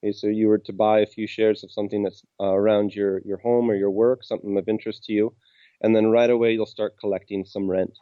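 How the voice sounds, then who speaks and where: A man's voice: lowish voice, level tone, fast, dry recording, lo-fi sound. A man from the United States with an American accent, answering interview questions about his game company in a hesitant way, full of ums and restarts.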